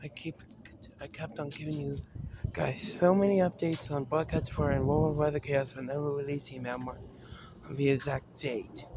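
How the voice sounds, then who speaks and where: A man giving an unscripted vlog-style talk as a video creator, haltingly, often repeating and restarting his phrases. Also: on the high side, fairly even intonation, background noise. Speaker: video creator announcing a decision to fans.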